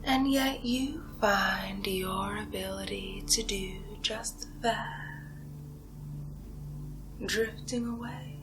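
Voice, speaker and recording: A woman playing a condescending, seductive vampire in an ASMR hypnosis role play, whispering breathily with slow, hypnotic pauses. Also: mid-range voice, music in the background. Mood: hypnotic, soothing menace. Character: condescending seductive female vampire feeding on human prey.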